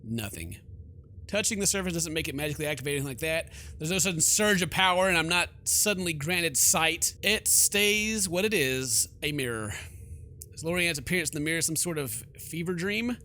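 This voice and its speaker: A man's voice: fairly high pitch, quick pace, sharp tone, slight background noise. A man hosting a let's play, reading game text aloud and commenting with jokey, theatrical reactions. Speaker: gaming video host.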